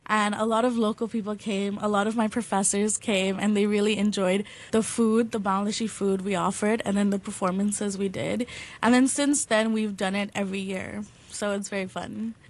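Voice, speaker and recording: A woman's voice: medium pitch, steady tone, normal speed. A young woman from Hong Kong chatting casually as a guest in an interview.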